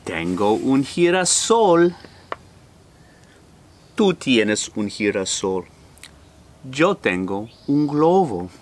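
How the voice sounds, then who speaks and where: Middle-aged man, lively storyteller reading aloud. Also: medium pitch. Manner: slow, animated, theatrical delivery. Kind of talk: expressive children's read-aloud in character voices. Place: outdoors in a fenced backyard. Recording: outdoor ambient noise.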